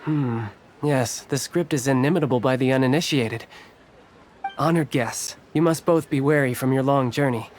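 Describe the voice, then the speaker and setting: Male actor as a polite, formal game merchant, mid-pitched. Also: normal speed, slight background noise, lightly acted. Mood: gracious. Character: courteous middle-aged male merchant guild clerk. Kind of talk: video game voice acting.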